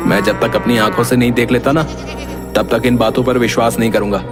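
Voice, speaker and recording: A man's voice: mid-range voice, level tone, brisk, over music, natural voice. A man voicing a bold, curious village boy, insistent and dismissive of warnings, in a storybook tale.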